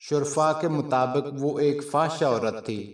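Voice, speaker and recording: A man narrating a scripted story in a measured, formal storytelling manner. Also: on the high side. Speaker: channel narrator. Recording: roomy echo, distant from mic.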